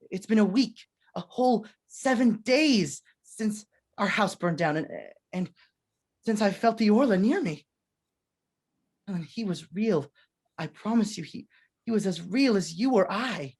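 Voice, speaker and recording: A performer playing a desperate, haunted fugitive man who fears for his sanity, halting and anguished, in 1940s radio melodrama style. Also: medium pitch, breathy edge. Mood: agitated, insistent.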